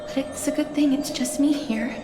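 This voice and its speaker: An actress playing a gentle, solemn young woman who guides the dying, speaking softly and politely in a video game drama. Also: high-pitched, fairly even intonation, natural voice. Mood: tender, grave. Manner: slow, measured, polite. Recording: echoey, over background music.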